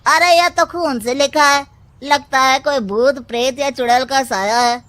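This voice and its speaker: A woman playing an excitable villager with urgent news in a cartoon story. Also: high-pitched, measured pace. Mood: alarmed, urgent. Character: excitable village man bringing alarming news.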